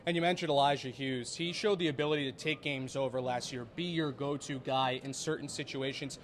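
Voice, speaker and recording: A man's voice: medium pitch, steady tone, brisk, a little hiss or hum. A young man asking prepared questions as a sports interviewer. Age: young adult.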